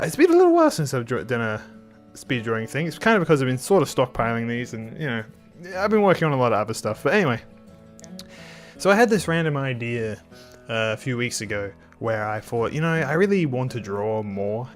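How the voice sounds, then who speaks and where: A man hosting his art channel in casual talk over a speed drawing, a bit nasal and under the weather, rambling with many restarts. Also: mid-range voice. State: slightly sick.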